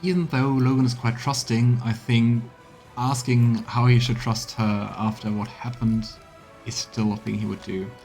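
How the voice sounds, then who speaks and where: A man narrates a video game in a medium-pitched voice, moving between storybook reading and casual commentary. Faint music plays behind him. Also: steady tone, moderate pace, creaky, darkish tone. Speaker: streamer narrating a let's play. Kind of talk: reading game text aloud with casual asides.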